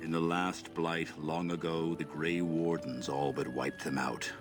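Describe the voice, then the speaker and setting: A man playing a kindly, solemn old elven lorekeeper in a fantasy game, speaking in a measured, formal way. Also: very deep voice, level tone. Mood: grave. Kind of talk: scripted fantasy game acting. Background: game music.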